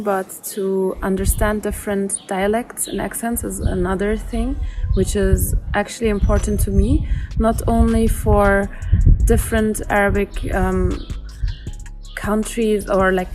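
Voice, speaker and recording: A woman's voice: mid-range voice, steady tone, measured pace. Hesitant young woman, faint music behind. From Germany. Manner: hesitant, thinking aloud with fillers. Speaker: interviewee, app user. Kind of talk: casual interview chat.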